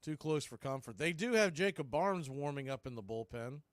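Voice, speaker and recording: A man calling live baseball play-by-play on the radio, in a brisk, conversational way that tracks every pitch and count. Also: lively intonation, dry recording.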